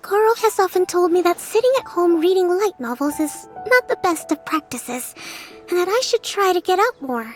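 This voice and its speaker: A woman voicing a gentle, composed young priestess in an anime game, speaking politely and formally. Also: extremely high pitch, expressive, moderate pace, soft background music. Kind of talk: anime game voice acting, scripted dialogue.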